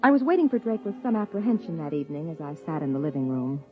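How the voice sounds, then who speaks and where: An actress playing an earnest, persuasive scientist wife who also narrates, in 1950s radio drama style. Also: female voice, deep voice, sing-song, quick pace, faint music behind. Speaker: narrator and lead character. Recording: dull 1950s radio broadcast.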